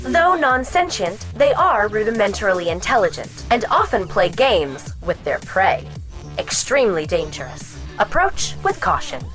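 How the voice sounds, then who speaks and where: An actress playing a hunter's guide, reciting creature entries in a formal, measured announcer style for an audio drama. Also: female voice, mid-range voice, sing-song, over music, lightly acted. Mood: formal, informative. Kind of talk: scripted encyclopedia narration, formal announcer delivery.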